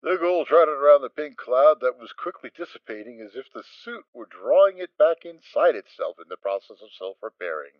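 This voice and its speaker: A man's voice: medium pitch, big pitch swings, moderate pace, hardly any pauses, no echo, highs cut off. A man narrating a dramatic story as a scripted reading.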